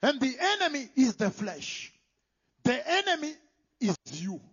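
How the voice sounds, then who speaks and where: A man preaching a sermon, emphatic, moving between loud declarations and hushed whispers. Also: very high-pitched, very animated, unhurried, breathy edge. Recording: dull lo-fi sound.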